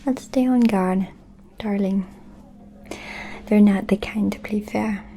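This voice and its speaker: A woman playing a scheming, seductive aristocratic vampire in an ASMR roleplay, confiding in a soft breathy whisper with insinuating pauses. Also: mid-range voice, steady tone, slight background noise. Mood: warning, sly. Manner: slow, deliberate, insinuating with pauses.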